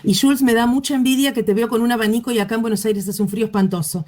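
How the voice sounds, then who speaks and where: A woman's voice: fairly high pitch, steady tone, very fast, darkish tone. A woman with an Argentine accent, hosting a book presentation on a video call and inviting the authors to speak in a friendly, easygoing way. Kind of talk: informal moderating.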